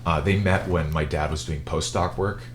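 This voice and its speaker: A man's voice: extremely low pitch, steady tone, normal speed, a little hiss or hum. A man in his thirties, chatting casually as a podcast guest, laid-back and laughing easily. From Rochester, New York, US. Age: 30s. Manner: laid-back, laughs often, loose and rambling. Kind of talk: casual chat.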